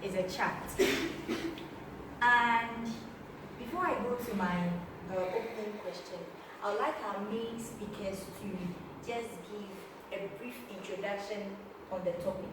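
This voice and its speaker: A woman's voice: mid-range voice, steady tone, measured pace. Woman moderating in an echoey room. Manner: friendly, laughs between remarks. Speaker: moderator of a science cafe. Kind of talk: casual event moderation. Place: room with a seated group. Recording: echoey room, distant mic, background noise.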